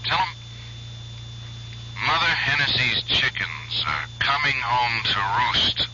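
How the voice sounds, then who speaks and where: A sharp, menacing mystery caller. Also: male voice, medium pitch, sing-song, takes it slowly, heavily acted. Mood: cold, curt. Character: curt, menacing, mysterious male telephone caller. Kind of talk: radio drama acting. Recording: dull hissy old radio recording.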